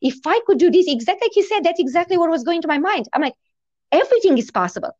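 Fast-talking woman with a foreign accent. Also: very high-pitched, dry recording, highs cut off. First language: not English. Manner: animated, lively storytelling with quoted inner thoughts. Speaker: realtor, interview guest. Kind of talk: conversational interview storytelling.

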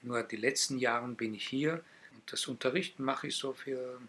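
An older man from Israel, a native Hebrew speaker who is retired and teaches Hebrew, talking in a relaxed, hesitant way as a guest in an interview. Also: mid-range voice. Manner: slow, thoughtful, with frequent hesitations. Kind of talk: casual interview talk.

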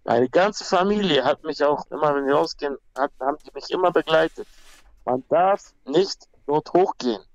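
Man from Peru, gravelly voice, blunt slangy storytelling, dry dull sound. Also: fairly high pitch, normal speed, no room sound. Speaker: guest, MMA fighter. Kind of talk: casual conversation.

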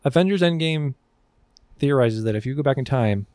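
A man's voice: mid-range voice, expressive, moderate pace, darkish tone, no room sound. A man co-hosting a podcast in casual banter, reading out facts between asides, irreverent and casually profane. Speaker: podcast co-host.

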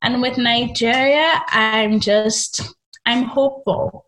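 A young woman guest with a high-pitched voice, talking earnestly and reflectively in a casual interview. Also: fairly even intonation, slow pace, fairly bright tone, poor audio. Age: young adult. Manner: earnest, reflective, with many fillers.

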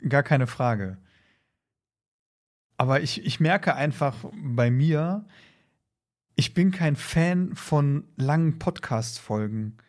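A man's voice: a little high, slow, long pauses. Hesitant male podcast co-host. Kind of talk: casual podcast discussion.

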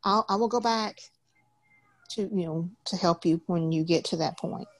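A woman's voice: lowish voice, moderate pace, dry recording. A woman in her forties patiently teaching a beginner loom knitting class over a video call, step by step. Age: 40s.

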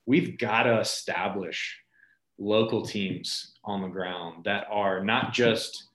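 A man's voice: fairly low pitch, takes it slowly, fairly bright tone. A man speaking as a nonprofit leader and interview guest, telling stories in an earnest, informal, conversational way. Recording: roomy echo.

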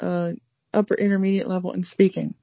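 Rambling woman with a low voice. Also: level tone, a little fast, gravelly, no room sound, lo-fi sound. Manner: rambling, many fillers and restarts. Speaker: language learner guest. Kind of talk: casual conversation.

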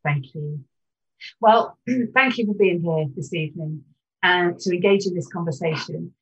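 Husky-voiced woman hosting a panel. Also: fairly low pitch, dull audio. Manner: measured, welcoming presenter tone with throat-clearing. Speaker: host of an interfaith panel. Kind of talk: presenting and leading a short prayer.

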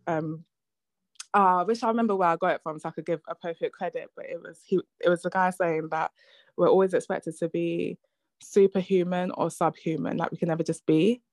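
Reflective woman in her thirties, low voice. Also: steady tone, fairly quick, a little mellow, no echo. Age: 30s. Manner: reflective, thinks aloud with fillers and restarts. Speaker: podcast host. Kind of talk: casual conversation.

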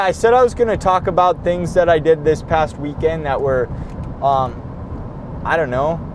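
Young man, high-pitched voice, tired and rambling, swearing, podcast from a car, road noise behind. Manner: tired, profane, rambling with many restarts. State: tired and losing his voice. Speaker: podcast host. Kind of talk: rambling solo podcast while driving.

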